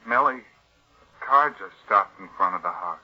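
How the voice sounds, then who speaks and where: A man's voice: fairly low pitch, expressive, normal speed, faint music behind. A man playing a fearful, weak-willed nephew drawn reluctantly into murder, nervous and faltering in 1940s radio suspense style. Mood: anxious, resigned. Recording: muffled vintage radio recording.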